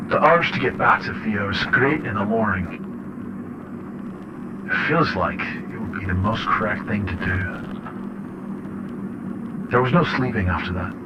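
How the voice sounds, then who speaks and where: A dull recording with clear background noise carries a man acting as an unsettled father keeping an eerie audio journal. His voice is fairly low, and he narrates his horror story in deliberate, halting, reflective phrases. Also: close to an everyday voice. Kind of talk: first-person horror audio diary. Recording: muffled, noisy radio-like audio log.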